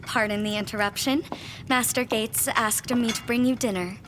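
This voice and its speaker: An actress playing a deferential young apprentice in a gothic period audio drama, polite and careful with formal phrasing. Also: female voice, fairly high pitch, fairly even intonation, normal speed, noisy background, natural voice.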